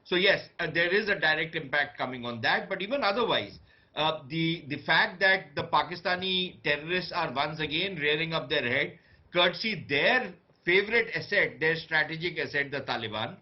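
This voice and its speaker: A man with an Indian accent giving off-the-cuff political analysis on a news panel, pausing often as he goes. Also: a little high, animated, highs cut off.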